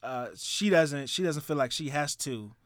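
A man's voice: fairly high pitch, expressive, unhurried. A man hosting a casual podcast chat, reading out listener responses and laughing often. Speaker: podcast host.

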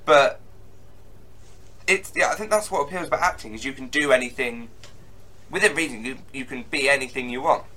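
Young guy hosting a podcast, bright punchy voice, chatty and excited. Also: mid-range voice. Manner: chatty, enthusiastic, quick restarts. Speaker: podcast host. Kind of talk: casual chat.

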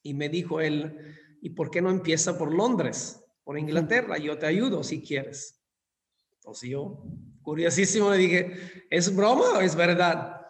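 A man's voice: a little high, measured pace. A fifty-year-old man from southern Italy with an Italian accent, telling his story as an interview guest in Spanish as a second language, courteous and often repeating words. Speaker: interview guest, hotel managing director. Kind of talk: conversational personal storytelling. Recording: long hall-like reverb.

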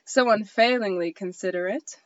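A woman playing a smooth-talking gentleman suitor in a cold read of a comic play, soothing and ingratiating. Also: medium pitch, moderate pace, dry recording, highs cut off, voice pushed down, close to an everyday voice. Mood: flattering.

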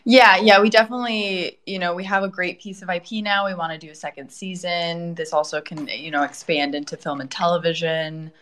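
Low-pitched woman chatting as a guest. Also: fairly even intonation, a little fast, hardly any pauses, a bit bright. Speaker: guest, project co-founder. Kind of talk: casual interview talk.

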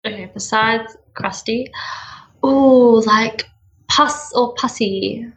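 A woman's voice: a little high, takes it slowly, a bit bright, poor audio. A young woman with an Australian accent, chatting as an interview guest in a bubbly, giggly way and drawing out words for emphasis. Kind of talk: casual interview chat.

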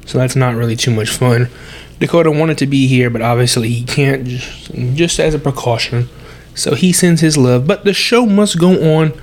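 A man's voice: medium pitch, moderate pace. A young adult man hosting a solo sports podcast, congested and with a sore throat, chatty and breathing heavily as he corrects himself. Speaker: podcast host.

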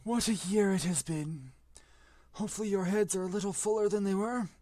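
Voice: mid-range voice, brisk, dark tone, no echo, natural voice. A reader voicing an old, whimsical male headmaster giving a genial, rambling speech. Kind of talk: audiobook reading.

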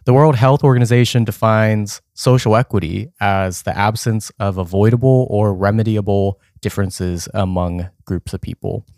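A man's voice: fairly low pitch, fairly even intonation, moderate pace, hardly any pauses, a little mellow, no echo, clean studio sound. Earnest young man podcast co-host. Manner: explains definitions earnestly, some "um" fillers. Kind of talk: casual educational podcast chat.

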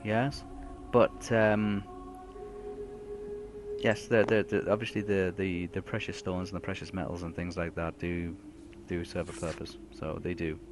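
Low-voiced man running a fantasy game. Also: flat delivery. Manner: rambling, halting with many fillers. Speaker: game master. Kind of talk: narrating a fantasy tabletop game. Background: music.

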